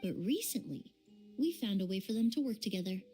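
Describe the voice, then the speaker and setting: An actress playing a composed young female perfumer in a video game, explaining things in a measured, articulate, quietly firm way. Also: medium pitch, very animated, faint music behind, close to an everyday voice. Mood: firm, matter-of-fact.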